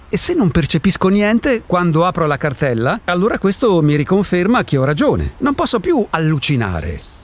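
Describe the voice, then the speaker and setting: Actor as husky, animated eccentric loner. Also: male voice, a little high. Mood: defiant. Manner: provocative, impatient, philosophizing. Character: eccentric solitary man insisting he does not exist. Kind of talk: Italian radio drama acting.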